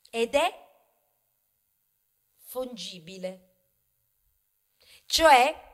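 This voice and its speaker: An indignant, ironic grain of wheat played by a woman speaks in a stage monologue. The voice is high and forceful, with a deliberate, slow delivery that shifts between conversational asides and protest. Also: full character voice. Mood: mock-lecturing. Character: indignant, wry talking grain of wheat, personified. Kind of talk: theatrical stage monologue, ballad-like storytelling.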